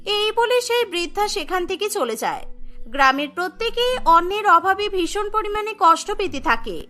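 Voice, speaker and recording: A woman's voice: extremely high pitch, expressive, normal speed, pushed and bright, soft background music. A woman narrating a scripted cartoon story as its storyteller.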